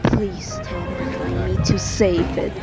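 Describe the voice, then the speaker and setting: A woman voicing an earnest, grieving young animal hero in an amateur animated drama, halting and solemn as she pleads. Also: lowish voice. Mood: earnest, pleading. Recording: loud music under the voice.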